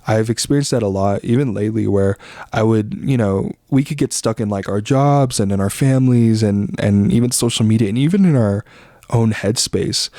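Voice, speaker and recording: A young man from the Philippines hosting a podcast, talking in a relaxed, rambling way with lots of fillers and slang. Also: fairly low pitch, no room sound, studio quality. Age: young adult. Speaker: podcast host. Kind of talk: casual reflective monologue.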